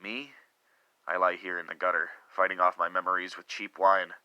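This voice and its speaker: A man playing a guilt-ridden, lovesick middle-aged husband in an earnest, theatrical confession with dramatic pauses, like a staged reading of a story. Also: sits low, little pitch movement, dry recording, highs cut off, lightly acted. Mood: anguished, ruined. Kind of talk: melodramatic dramatic reading of a first-person confession.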